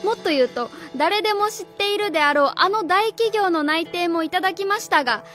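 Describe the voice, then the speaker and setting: Woman acting a very high-voiced, earnest female schoolteacher. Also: brisk, a bit bright. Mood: proud. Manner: formal, earnest, indignant. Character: earnest, proud, idealistic female junior high school teacher. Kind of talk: radio drama acting. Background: music.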